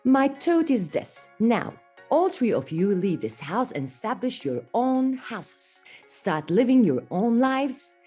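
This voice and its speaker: A woman's voice: on the high side, sing-song, slow pace, warm tone, husky, faint music behind, voice pushed up, full character voice. A woman voicing a caring mother pig giving her grown piglets earnest advice in a children's story. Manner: earnest, instructive.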